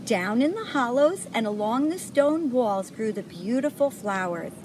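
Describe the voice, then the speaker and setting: A woman reading a children's picture book aloud, pausing to point things out to young listeners. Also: a little high, lively intonation, darkish tone, a little hiss or hum. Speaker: storybook reader.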